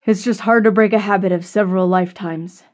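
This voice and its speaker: A woman's voice: mid-range voice, fairly even intonation, fairly quick, darkish tone, close to an everyday voice. A woman narrator voicing a weary, wry, long-lived man in an audiobook story. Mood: apologetic.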